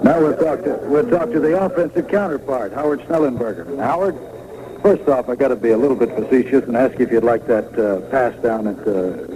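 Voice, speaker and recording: A man's voice: mid-range voice, lively intonation, fairly quick, rough recording. A man working as a radio sports interviewer, introducing and questioning guests after a game. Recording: radio broadcast amid loud crowd. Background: crowd noise.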